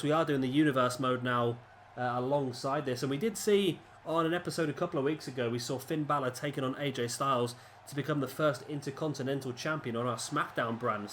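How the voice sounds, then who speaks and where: A man's voice: mid-range voice, slight background noise. A man, congested from a cold, giving rambling, chatty commentary over a video game. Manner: rambling, chatty, many restarts. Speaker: gaming commentator. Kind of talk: casual gameplay commentary.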